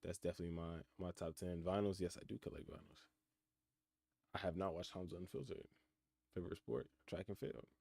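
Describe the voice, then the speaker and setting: Young man, nineteen, low voice, dry close sound; relaxed streamer musing on chat questions. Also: a little fast, gravelly, no room sound. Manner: laid-back, reflective, reads chat questions aloud and answers. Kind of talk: casual live-stream chat. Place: his room in college housing. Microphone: computer mic.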